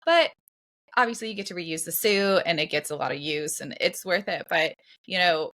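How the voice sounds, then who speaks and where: A woman's voice: fairly low pitch, expressive, moderate pace, a bit bright. A woman in her thirties co-hosting a podcast, talking casually with lots of fillers and restarts. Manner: chatty, many fillers and restarts. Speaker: podcast co-host.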